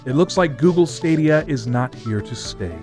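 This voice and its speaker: A man's voice: a little high, animated, moderate pace, darkish tone. A man hosting a scripted entertainment news segment, reading as narration. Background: music.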